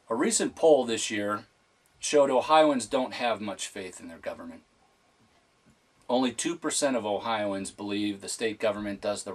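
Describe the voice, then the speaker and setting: A man giving a prepared political speech as a candidate, in a formal, emphatic, point-by-point manner. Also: medium pitch. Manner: formal, emphatic enumerated delivery.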